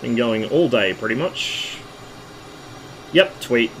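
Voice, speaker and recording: A hoarse man, losing his voice, casually talking through a flight-sim stream in a relaxed, rambling way. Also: medium pitch, takes it slowly, noisy background. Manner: relaxed, rambling, with fillers and asides.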